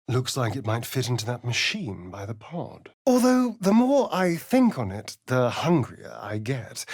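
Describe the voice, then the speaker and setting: A man's voice: mid-range voice, fairly bright tone, breathy edge, full character voice. An actor playing a centuries-old male vampire elf, arch and theatrical in video game lines. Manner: theatrical, arch, relishing words.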